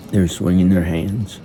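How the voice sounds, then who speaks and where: Low, dark-voiced man storytelling in monotone. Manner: hesitant, halting, childlike simple phrasing with repetition. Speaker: amateur storyteller narrating own improvised story. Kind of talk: improvised first-person storytelling. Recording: noticeable background noise. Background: ambient sound effects.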